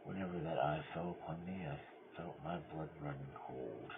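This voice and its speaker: Man acting a very deep-voiced, theatrical mad murderer. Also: normal speed, vocal fry. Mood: brooding. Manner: theatrical, swinging from loud insistence to hushed whispers. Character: nervous, boastful mad murderer insisting on his sanity. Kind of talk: dramatic gothic horror storytelling. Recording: muffled lo-fi microphone with loud noise.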